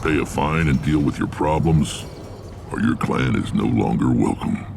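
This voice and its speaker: A man voicing a huge, gruff alien clan leader in a video game, gravelly, blunt and authoritative. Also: moderate pace, noisy background. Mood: firm, proud. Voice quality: gravelly, rumbling.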